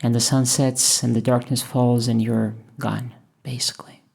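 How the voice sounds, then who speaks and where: A woman from Russia, thoughtfully talking over her painting process in a hesitant, musing way with many pauses. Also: very deep voice, flat delivery, vocal fry, darkish tone, slightly rough. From Moscow, Russia. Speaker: painter and channel host. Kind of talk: reflective voice-over while painting.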